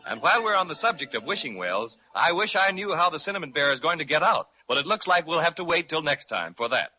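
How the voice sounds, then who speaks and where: A man's voice: on the high side, very animated, fast. Sing-song 1930s radio narrator, man. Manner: warm storybook, sing-song emphasis. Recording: dull 1930s radio recording with faint music.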